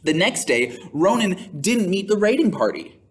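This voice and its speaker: High-voiced, animated male storytime reader. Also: slight background noise. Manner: theatrical, exclaiming, drawn-out emphasis. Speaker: children's storytime host reading a picture book aloud. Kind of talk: expressive children's read-aloud with playful asides.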